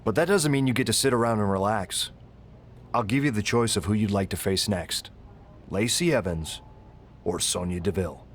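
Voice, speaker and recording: A man playing a pragmatic wrestling official in a game cutscene, speaking in a measured, matter-of-fact way. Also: on the low side, slight background noise, natural voice. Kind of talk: scripted game cutscene dialogue.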